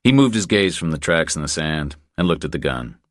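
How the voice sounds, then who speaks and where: A man with an exceptionally low, deep voice narrates a thriller audiobook. His reading is measured and deliberate, and the recording is dry and close. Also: animated, no room sound. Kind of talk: scripted narration of crime thriller.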